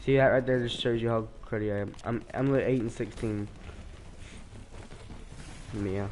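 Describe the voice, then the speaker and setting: A man's voice: medium pitch, steady tone, moderate pace, a little mellow, slight background noise. A teenage boy with a stuffy, sick voice, rambling through casual commentary while he plays a shooter, full of restarts. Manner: rambling, hesitant, with many restarts. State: sick, congested. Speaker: streamer.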